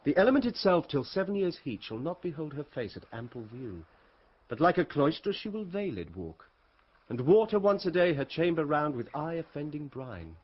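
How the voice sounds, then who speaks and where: An actor playing a deferential gentleman messenger giving a formal, declamatory report in a Shakespeare radio drama. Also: fairly high pitch, big pitch swings, moderate pace, muffled recording.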